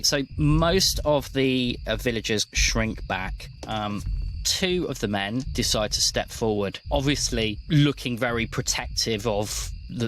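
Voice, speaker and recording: A man's voice: fairly low pitch, fairly bright tone, slight background noise. A man working as game master, narrating a tabletop roleplaying game in a conversational way.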